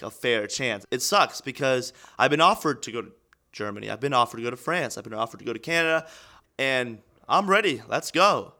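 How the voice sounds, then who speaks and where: Bright-voiced young man telling his story. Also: medium pitch. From Estonia. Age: 20s. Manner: candid, earnest storytelling, swings between quiet and fired up. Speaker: aspiring pro wrestler. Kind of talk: documentary interview.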